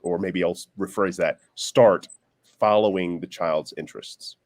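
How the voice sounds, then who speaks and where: A man taking part as a guest in a casual online panel, speaking in a measured, deliberate way over a video call. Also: low-pitched, a little mellow.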